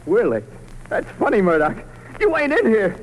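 A man's voice: high-pitched, huge pitch swings, moderate pace. An actor in a 1940s radio drama playing a bitter, betrayed young American convict, agitated and full of tough-guy slang. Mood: bitter accusation. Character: desperate condemned young crook, bitter and betrayed. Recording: noisy old 1940s radio recording.

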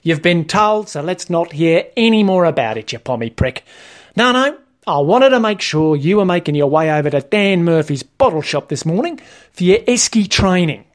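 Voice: medium pitch, expressive, normal speed, lightly acted. A narrator voicing a brash, bossy Australian man who talks in blunt, matey, sweary slang for a comic story.

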